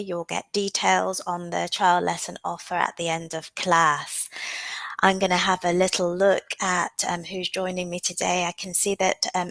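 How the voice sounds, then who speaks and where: A woman with a cold leads a live online exam-prep lesson, her lowish voice gravelly and a little rough. She talks in an unhurried, explanatory way, pausing often on "um". The sound is dry and close, with no room around it. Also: a bit bright, no room sound. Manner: frequent "um" fillers, unhurried and explanatory. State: has a cold. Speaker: OET teacher.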